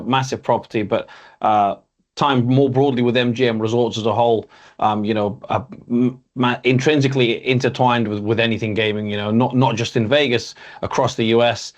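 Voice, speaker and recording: A man hosting an interview, asking long rambling questions with restarts. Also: medium pitch. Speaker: podcast host.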